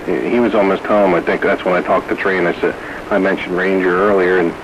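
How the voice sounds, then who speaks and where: Low-voiced man on staticky CB radio. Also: level tone, fast, lo-fi sound. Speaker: CB radio operator. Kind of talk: casual chat over CB radio. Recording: noisy, staticky CB radio transmission.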